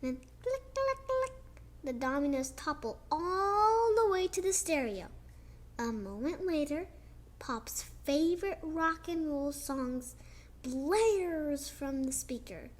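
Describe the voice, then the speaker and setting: A young girl reading a children's picture book aloud, slowly and expressively, with chatty asides about the pictures. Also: high voice. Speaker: child storyteller.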